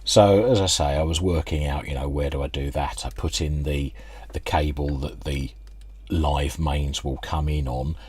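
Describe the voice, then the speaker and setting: Deep, husky British man. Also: steady tone, moderate pace, darkish tone. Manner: hesitant, unscripted, thinking aloud. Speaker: radio restoration hobbyist presenting a workbench video. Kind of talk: informal technical explanation at the workbench. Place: indoor workbench, close dry sound.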